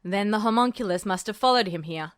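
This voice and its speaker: A woman voicing a gruff, gravelly male major general in a podfic reading, curt and commanding. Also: mid-range voice, a little fast, no room sound, studio quality, voice pushed down, close to an everyday voice. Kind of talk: podfic reading of fan fiction.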